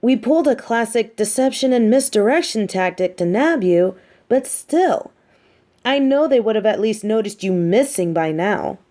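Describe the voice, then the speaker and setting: A woman's voice: on the high side, expressive, normal speed, warm tone, natural voice. A woman playing a smug, mean but sympathetic supervillain captor in an audio roleplay, talking in a taunting, theatrical, conversational way. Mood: impatient, puzzled. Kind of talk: roleplay audio drama monologue.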